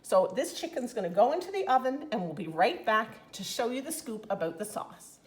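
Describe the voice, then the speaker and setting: A woman's voice: on the high side, sing-song, brisk. A woman with a Newfoundland accent, chatting upbeat through a home cooking demonstration in her kitchen. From Newfoundland, Canada. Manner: chatty, upbeat, enthusiastic about flavor. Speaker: cooking product consultant.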